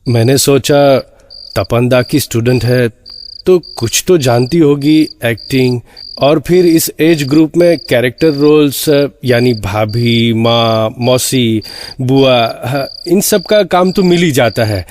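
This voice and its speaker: A man playing a TV writer who tells his own horror story in a conversational, expressive way. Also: mid-range voice, slow, slight background noise, lightly acted. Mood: reflective. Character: puzzled male Mumbai TV writer recounting eerie experience. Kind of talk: first-person horror drama narration.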